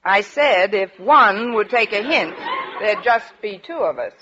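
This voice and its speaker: An actress playing a wry, lovelorn high school English teacher with dry delivery in a 1940s radio comedy. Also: female voice, lively intonation, a little slow, a crowd in the background, background noise, close to an everyday voice. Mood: dry, hinting. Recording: dull 1940s radio recording, studio audience.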